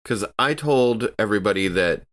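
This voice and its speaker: A man's voice: lowish voice, expressive, moderate pace, a bit bright. A man playing an anxious, hesitant spaceship crewman in a tabletop role-playing game. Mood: worried.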